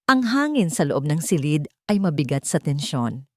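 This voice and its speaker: A woman narrating a scripted audio drama in a solemn, deliberate and suspenseful manner. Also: deep voice, big pitch swings, dark tone, dry recording, clean studio sound.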